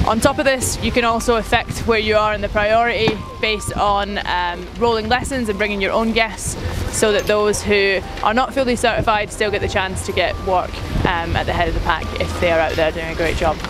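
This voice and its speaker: A young woman speaking outdoors as a ski school director, giving an informative interview. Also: mid-range voice. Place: outdoors on a snowy mountain. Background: music.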